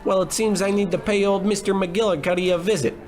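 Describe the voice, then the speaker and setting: A man playing a bumbling, self-important private detective in a comic 1940s-style radio noir, blustering and deadpan. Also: high-pitched, brisk, over music, close to an everyday voice. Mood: inquisitive.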